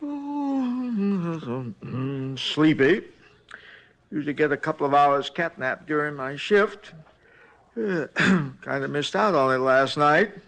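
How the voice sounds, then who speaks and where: A rambling gravelly night clerk. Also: male voice, fairly high pitch, animated, takes it slowly, fairly bright tone, exaggerated voice. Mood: sleepy. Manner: rambling, plain ungrammatical speech. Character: folksy talkative male hotel night clerk. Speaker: robbery witness. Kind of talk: 1950s radio drama acting.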